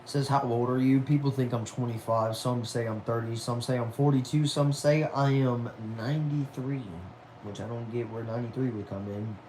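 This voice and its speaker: A man's voice: medium pitch, steady tone, moderate pace, dark tone, a little hiss or hum, rough recording. A man of about twenty chatting casually on a livestream at home, reading viewer messages aloud in a laid-back, talkative way, dropping "y'all" and "man". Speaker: livestream host.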